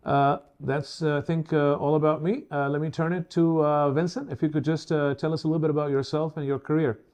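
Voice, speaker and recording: A man in his fifties presents as the host of a professional webinar. His voice sits a little high for a man and has a relaxed, mellow tone. He words things formally and hesitates between phrases. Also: fairly quick, clean tone.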